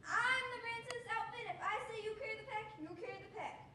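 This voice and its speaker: Young woman as a high-pitched bossy gangster, echoey stage. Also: fast, pushed and bright. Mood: bossy, impatient. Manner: barking, slangy tough talk. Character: bossy bullying gangster boss, gruff wisecracking tough guy. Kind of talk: stage radio-show acting. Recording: echoey stage, far from mic, faint noise.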